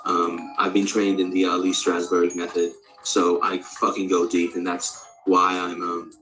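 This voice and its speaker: A male speaker of around forty from Australia, practising an American accent in a rambling, halting, candid and sweary casual monologue. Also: deep voice, completely flat delivery, a bit bright, soft background music, rough recording. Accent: American, put on over native Australian. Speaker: actor introducing themself.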